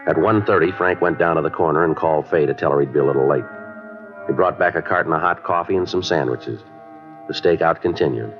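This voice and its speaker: A man acting a stoic, terse police detective in an old-time radio drama, matter-of-fact and deadpan. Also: extremely low pitch, fast. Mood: flat narration. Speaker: lead actor and narrator of a detective series. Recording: dull vintage radio broadcast with music.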